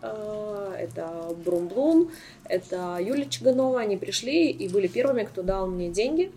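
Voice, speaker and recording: A middle-aged woman from Ukraine, talking as an interview guest in a lively way, with many hesitation sounds. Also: mid-range voice, moderate pace. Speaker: guest, bar co-founder. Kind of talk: casual interview talk.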